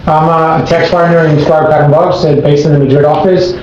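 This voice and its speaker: A man's voice: fairly high pitch, flat delivery, brisk, a little mellow. A man with a partly American accent speaking informally as a tax professional on a panel. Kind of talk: informal panel introduction and questions. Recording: echoey room, dull sound, background noise.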